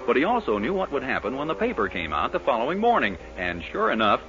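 An actor as a wry, mock-grave narrator delivering scripted 1940s radio comedy storytelling. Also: male voice, medium pitch, wildly swooping pitch, quick pace. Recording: muffled 1940s radio recording with music.

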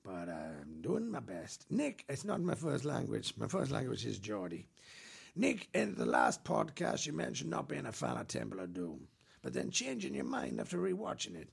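A man's voice: huge pitch swings, normal speed, vocal fry, breathy edge. A man co-hosting a comedy podcast, reading a listener email aloud in a playful, exaggerated way. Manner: playful, exaggerated reading with big pitch jumps. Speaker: podcast co-host.

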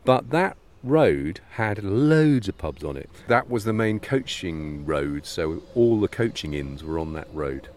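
Deep-voiced wry man co-hosting. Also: big pitch swings, unhurried, hardly any pauses, darkish tone, a little hiss or hum. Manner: wry and teasing, addresses listeners. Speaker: podcast co-host. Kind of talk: casual chat.

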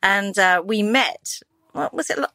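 A woman in her fifties hosts a casual podcast chat at home, chatty and quick to laugh. Her voice sits at a middle pitch. The sound is dry and close. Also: bright, forceful tone, dry recording. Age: 50s. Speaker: podcast co-host. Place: home living room.

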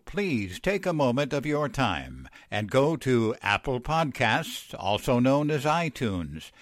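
A male announcer in the old-time radio manner, reading formally. His mid-pitched voice is measured and slow with lively rises and falls, recorded dry. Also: no echo. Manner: formal, deliberate.

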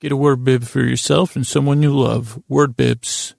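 Guy doing a bumbling earnest salesman on a podcast skit, super creaky, flustered but insistent, dry sound. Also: mid-range voice, fairly even intonation, moderate pace, a little mellow, no echo. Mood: insistent pitching. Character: bumbling, earnest male talk-show guest pitching a product.

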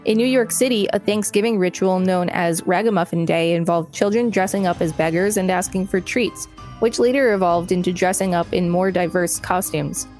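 A woman's voice: on the low side, moderate pace, a little mellow, soft background music. A woman co-hosting a podcast, reading an encyclopedia article aloud and adding casual commentary. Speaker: podcast co-host.

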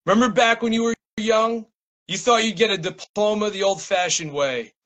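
A man with an American accent and a notably high voice for a male speaker. He is a guest poet, talking in a loose, slangy, laid-back way and reading from his writing. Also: moderate pace, a bit bright. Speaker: guest poet and small press publisher. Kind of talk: casual interview chat and poetry reading.

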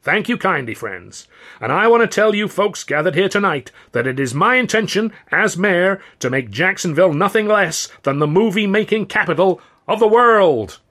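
A man's voice: sits high, lively intonation, normal speed, fairly bright tone, voice pushed up. A man voicing a boastful, beaming Southern American mayor giving a grandiloquent, rabble-rousing speech in an audiobook. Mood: proud, rousing.

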